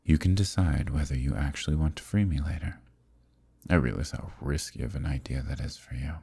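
A man playing an ancient, imprisoned eldritch villain in an ASMR roleplay, seductive and lonely, with a deep, breathy voice and an intimate, teasing manner. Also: fairly quick, mellow, heavily acted. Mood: sly, curious. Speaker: voice actor.

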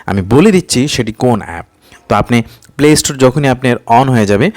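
Man giving step-by-step tutorial. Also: medium pitch. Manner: instructional, repetitive, prompting with rhetorical questions; mixes English words into speech. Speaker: tutorial presenter. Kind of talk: informal step-by-step phone app tutorial narration.

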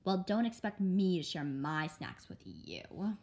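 A woman's voice: on the low side, a little slow, creaky, slight background noise. A woman reading a comic story aloud, acting out the dialogue and speaking the sound words. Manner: animated, acts out characters' dialogue.

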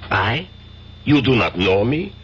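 A suave villain of 1970s radio drama, courteous, proud and threatening. His deep voice moves slowly and deliberately, swinging widely in pitch. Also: sing-song, a bit bright, heavily acted. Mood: proud, smooth. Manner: formal, deliberate, silkily polite with pauses. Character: suave, menacing Greek drug lord, courteous and proud. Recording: dull vintage radio recording, faint hiss.

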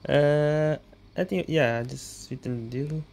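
Very low-voiced woman commenting on gameplay. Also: unhurried, gravelly, very noisy. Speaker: streamer. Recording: loud game music behind.

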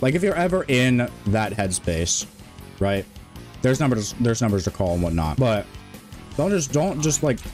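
A man in his twenties streaming a game, talking in a rambling, monotone way with many restarts. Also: medium pitch. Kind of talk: casual stream chat.

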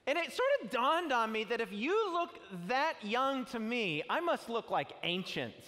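A middle-aged male pastor speaking to a campus audience from a stage, telling jokes and stories in an animated way. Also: extremely high pitch, unhurried. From not Australian, lives in Australia. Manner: animated, joking storyteller with big gestures. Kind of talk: sermon with opening prayer. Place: large auditorium stage with audience. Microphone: headset mic. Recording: auditorium with long reverb and faint music.

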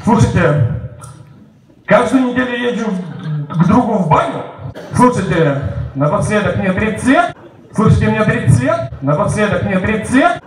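A man warming up a comedy crowd, repeating the same catchphrase again and again. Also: high voice, expressive, normal speed, long pauses, slight background noise. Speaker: opening comedian. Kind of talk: stand-up warm-up set. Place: theater stage. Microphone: handheld mic, filmed from far. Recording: echoey room, distant mic.

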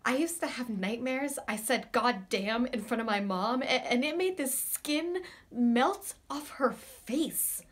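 A woman's voice: fairly high pitch, lively intonation, slow pace. An actress playing a torn, lovesick woman in an intimate theatrical monologue, earnest, hesitant and vulnerable. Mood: rueful, anxious. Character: torn, lovesick woman, scared yet tender and confessional.

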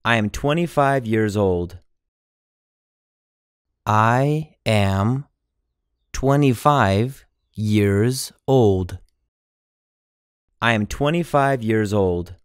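A man's voice: on the low side, drawn-out words, pauses often, clear, resonant tone, no echo, clean studio sound. A man with a General American accent reading English phrases for learners, saying each one normally, then word by word. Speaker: English teacher. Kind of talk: slow language-drill reading.

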